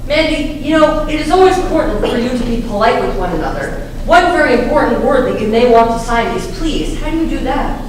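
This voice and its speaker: Woman as high-pitched, encouraging puppet host, echoey hall. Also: animated, a little fast, few pauses, close to an everyday voice. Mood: friendly, curious. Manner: teacherly, addresses audience with questions. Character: upbeat puppet host leading children, polite and encouraging. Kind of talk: scripted children's puppet show. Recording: echoey, noisy hall from far off.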